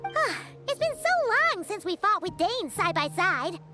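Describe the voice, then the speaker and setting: Squeaky, very high-pitched fairy sidekick. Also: female voice, big pitch swings, a little slow, over music, heavily acted. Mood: excited. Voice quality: squeaky, childlike. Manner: perky, exclamatory, refers to self in third person. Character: tiny excitable female floating fairy companion, childlike, chatty. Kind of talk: English video game voice acting.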